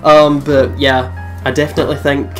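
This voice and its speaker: A young man chatting casually as a let's play host, excitable and sweary. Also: mid-range voice, normal speed, soft background music. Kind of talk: casual gaming chat.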